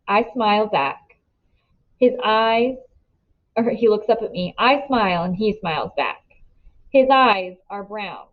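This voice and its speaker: A woman narrating a children's novel aloud in an expressive storytelling style. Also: fairly high pitch, muffled recording.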